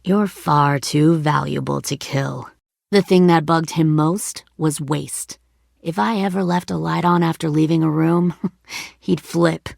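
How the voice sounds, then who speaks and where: A hardened female survivor leader from a video game drama, middle-aged and menacing. Her voice sits low with a heavy gravelly creak, captured very dry and close. She talks like someone used to giving orders and making threats. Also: steady tone, a little slow, darkish tone, no room sound, heavily acted. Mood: calm, bitter storytelling. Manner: cold, threatening, commanding.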